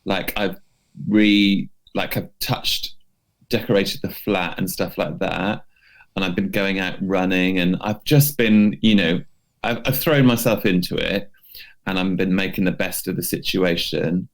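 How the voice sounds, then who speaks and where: A man with a deep voice and a lot of creak co-hosts a casual podcast. He talks in a halting way, often repeating a word or phrase before carrying on. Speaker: podcast co-host. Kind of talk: casual chat.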